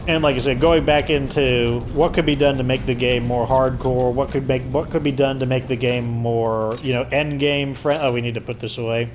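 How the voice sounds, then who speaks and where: A man with a hoarse voice giving loose, rambling commentary while he plays a video game. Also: mid-range voice, fairly even intonation, moderate pace, few pauses, noisy background. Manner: rambling, filler-heavy explaining. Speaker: let's play host. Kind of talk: casual gameplay commentary.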